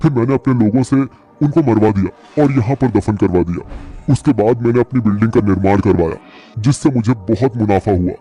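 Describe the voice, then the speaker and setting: A secretive, guilty male property boss in a horror cartoon, played by a man. His voice is low, husky and dark, and his manner defensive. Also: brisk, highs cut off, faint music behind. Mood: confessing. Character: secretive, guilty male building company owner.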